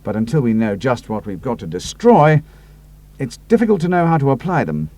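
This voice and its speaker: A man voicing a decisive air ace with a crisp, clipped and authoritative manner. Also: mid-range voice, big pitch swings, brisk, a little mellow. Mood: commanding. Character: commanding British air ace, decisive and brisk.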